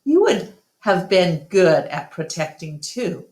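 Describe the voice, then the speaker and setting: A woman reads as a grown male gorilla from a storybook, gentle and thoughtful. The speech is mild, earnest and unhurried. There is clear room echo. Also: on the low side, big pitch swings. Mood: mild, curious. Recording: roomy, echoing room sound.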